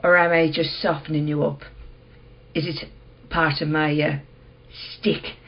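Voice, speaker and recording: A husky, fairly low female voice, an actress playing a composed and enigmatic seller of curios in a radio play. Her delivery is understated and calm. The sound is slightly muffled and lo-fi. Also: measured pace, heavily acted. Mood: coolly teasing. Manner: understated, unruffled.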